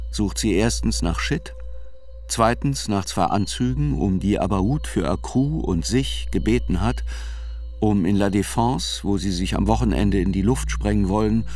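A man's voice: on the low side, a little hiss or hum. A man reading scripted literary narration as the narrator of a radio play. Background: soft music.

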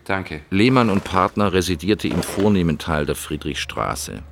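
An actor playing a cool, observant private investigator, narrating and speaking in a plain, understated way in a radio drama. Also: male voice, low-pitched, very animated, normal speed, gravelly, noisy background, exaggerated voice.

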